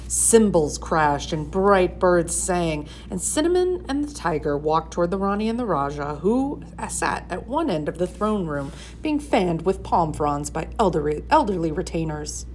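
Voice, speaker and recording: A woman gives an expressive storybook read-aloud. Her voice is on the lower side, and the recording carries faint room noise. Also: moderate pace, few pauses. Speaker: storybook reader.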